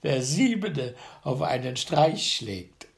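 A man's voice: mid-range voice, big pitch swings, slow, vocal fry, full character voice. A man voicing a small, boastful young tailor who brags brashly in a fairy tale reading. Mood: cocky.

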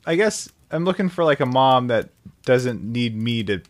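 A man co-hosting an improvised comedy podcast, talking in a hesitant, halting, deadpan way. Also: medium pitch. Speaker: podcast co-host.

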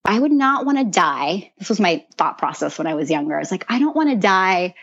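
A woman guest in a casual podcast conversation, earnest and animated, who often repeats words as she talks. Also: medium pitch, a little fast, highs cut off. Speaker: guest, mentor to creative women.

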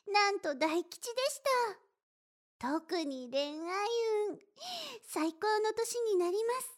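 A woman voice actor playing an affectionate, teasing older-sister type young woman in a Japanese situation voice drama, speaking gently and playfully to the listener. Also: very high-pitched, exaggerated voice. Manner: gentle, coaxing, playful, addressing listener softly.

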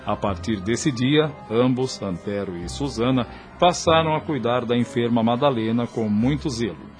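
A man's voice: mid-range voice, normal speed, soft background music. A man giving scripted narration for a radio soap opera in a solemn, literary manner.

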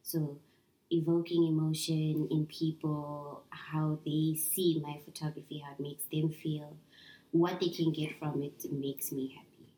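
A woman's voice: low-pitched, little pitch movement, measured pace, dark tone. Wry young woman, echoey room. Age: 20s. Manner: wry, hesitant, drops to a mutter. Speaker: podcast guest. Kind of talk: casual chat.

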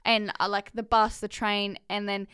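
A woman co-hosting a podcast in casual chat, animated and rambling with quick laughs and restarts. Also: mid-range voice, smooth, dry recording, studio quality. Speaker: podcast co-host.

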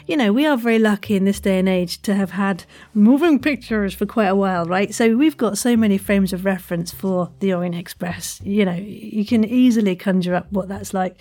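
A woman's voice: mid-range voice, fairly quick, few pauses, dark tone. A woman chatting casually and effusively as the host of an interview podcast. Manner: enthusiastic, effusive.

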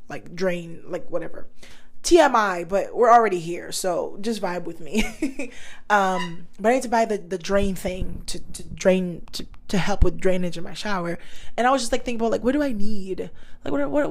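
A woman hosting a casual solo podcast, chatting straight to her listeners in a slangy, easygoing way, her voice still a little raspy and hoarse. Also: medium pitch. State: slightly hoarse, recovering voice. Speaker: podcast host.

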